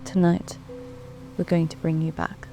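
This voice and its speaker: Very slow, hushed British woman, mellow. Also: deep voice. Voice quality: soft. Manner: calm, soothing, measured with long pauses. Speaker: therapist narrating a guided sleep meditation. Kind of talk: scripted sleep story meditation narration. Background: background music.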